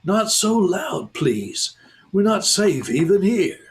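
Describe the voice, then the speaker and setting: A man voicing a wary, secretive male beaver in a hoarse, throaty whisper, pitched well above his own voice. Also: very animated, slow, full character voice. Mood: urgent hushed. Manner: urgent, hushed, raised higher.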